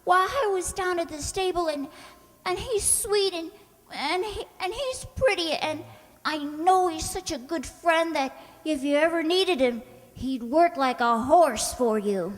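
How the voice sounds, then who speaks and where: An actress as a scatterbrained, soft-hearted young woman with an extremely high voice. She pleads earnestly and takes everything literally, in radio comedy style, with long hall reverb around her. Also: animated, measured pace. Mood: pleading, insistent. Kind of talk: old-time radio comedy acting. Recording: hall reverb on stage-like recording.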